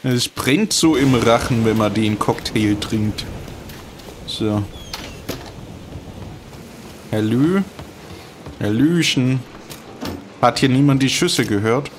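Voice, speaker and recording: A man's voice: mid-range voice, takes it slowly, long pauses, slight background noise. A man giving casual, dry, joking commentary as he plays a video game. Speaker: let's player commenting on gameplay.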